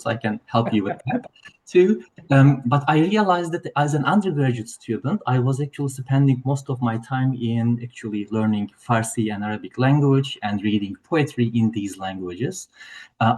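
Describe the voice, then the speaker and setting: A man's voice: mid-range voice, darkish tone, poor audio. A man in his forties with a Turkish accent, a guest scholar answering interview questions over a video call in an earnest, reflective way with touches of humor. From Turkey.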